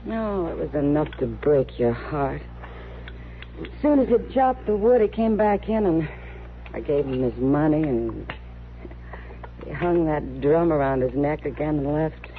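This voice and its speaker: Muffled vintage radio western, sympathetic frontier woman, plain-spoken, animated intonation. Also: low-pitched, measured pace. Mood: gentle, sorrowful. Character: kind, plain-spoken frontier woman who pities an outcast boy. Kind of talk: old-time radio western acting. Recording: muffled, noisy old radio recording.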